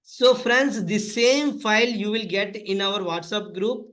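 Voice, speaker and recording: A man's voice: high voice, measured pace, fairly bright tone. A man instructing in a step-by-step coding tutorial, often repeating and spelling out terms.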